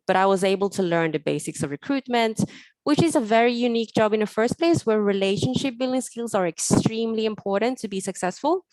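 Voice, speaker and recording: A woman's voice: medium pitch, no echo, low-quality recording. A young woman raised in Sweden, giving an online guest talk with frequent ums and restarts. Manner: hesitant, many ums and restarts. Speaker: guest speaker.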